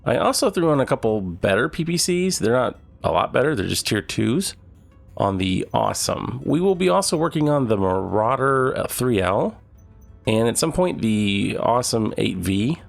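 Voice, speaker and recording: A man's voice: lowish voice, moderate pace, vocal fry, faint music behind. A man with a cold, sounding nasal, casually commenting on his gameplay. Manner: relaxed, thinking aloud. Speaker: gaming channel host.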